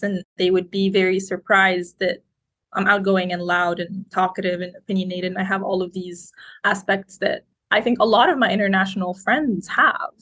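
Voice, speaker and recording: A woman in her twenties, raised in Egypt, answering interview questions in a talkative, outgoing, expressive way. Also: mid-range voice. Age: 20s. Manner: expressive, quotes people in lively voices. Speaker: guest.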